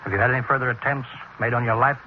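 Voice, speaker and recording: An actor playing a grave, insistent captain with a measured, official manner, in 1950s radio drama style. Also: male voice, medium pitch, steady tone, close to an everyday voice. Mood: grave, controlled. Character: grave, insistent male captain, a cautioning superior. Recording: dull, noisy vintage radio recording.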